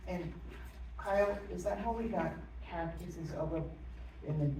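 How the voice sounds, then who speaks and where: A woman's voice: lowish voice, unhurried. A woman attendee asking casual questions at a town meeting. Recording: echoey room, far mic, faint noise.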